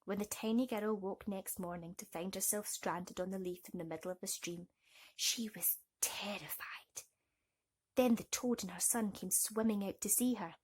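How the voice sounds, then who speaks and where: A female bedtime story reader with a mid-pitched, husky, breathy voice. She narrates expressively for young children. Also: normal speed, darkish tone.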